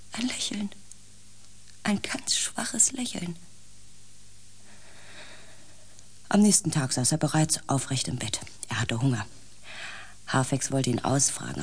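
An actor playing a compassionate narrator and protagonist in a science fiction radio play, gentle and reflective, over faint background noise. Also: male voice, mid-range voice, slightly rough. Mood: calm, tender. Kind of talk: radio drama narration and dialogue.

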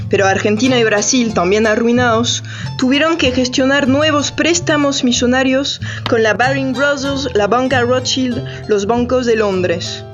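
An actress voices an aggrieved woman in radio theatre. Her high voice recites dramatically and denounces destruction. Music plays clearly behind her. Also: a little slow, close to an everyday voice. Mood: grave.

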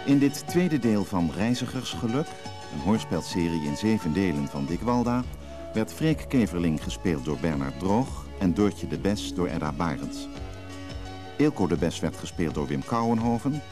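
A man giving a scripted broadcast announcement as a radio announcer, formal and measured. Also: deep voice. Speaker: announcer reading radio drama credits. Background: music.

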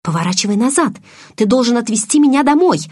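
A woman's voice: a little high, brisk, darkish tone, breathy edge, pitched above the performer's own voice. A woman reading an audiobook, voicing a frightened, furious and stubborn teenage girl who pleads insistently. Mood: panicked, angry.

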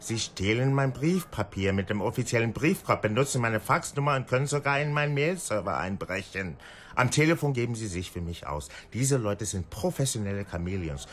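Male actor for worldly, sardonic lawyer in radio drama; lowish, very animated delivery, theatrical and knowing, some background noise. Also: lightly acted. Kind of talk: German radio drama acting.

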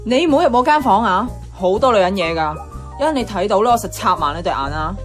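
Actress as cheeky young woman, Hong Kong Cantonese, mid-pitched, bossy and blunt, playful radio drama dialogue, faint music behind. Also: expressive, fast talker, close to an everyday voice. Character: bossy, cheeky, teasing young female flatmate.

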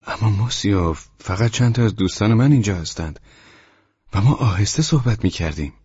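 A man's voice: on the low side, lively intonation, warm tone, breathy edge, voice pushed up. A man voicing a flustered, polite yet stubborn tenant in an audiobook, formal and defensive. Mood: flustered apology. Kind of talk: audiobook character voice. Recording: dull, muffled, echoing room recording.

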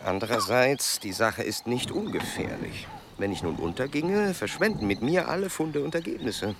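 An actor playing a stubborn, self-assured explorer-scientist in a radio play, speaking formally and precisely. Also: male voice, mid-range voice, animated, normal speed, background noise, close to an everyday voice. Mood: resolute, self-important. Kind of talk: German radio play acting.

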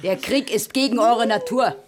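A woman's voice: a little high, moderate pace, natural voice. An actress playing a proud queen who stands up to her raging husband, in a 1970s radio play with voices behind. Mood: defiant. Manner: defiant, pleading, cutting.

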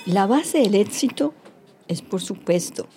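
Mellow, measured Spanish-speaking woman minister acted out. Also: medium pitch, animated, a little hiss or hum, lightly acted. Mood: self-satisfied, matter-of-fact. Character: cynical, self-satisfied female government minister for gerontology. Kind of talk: satirical interview, formal answers in Spanish.

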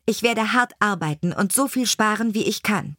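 A woman's voice: medium pitch, expressive, normal speed, fairly bright tone, no room sound, studio quality, pitched above the performer's own voice. A woman voicing a fearless, determined seventeen-year-old girl in a storybook, insistent and proud. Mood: proud, reassuring.

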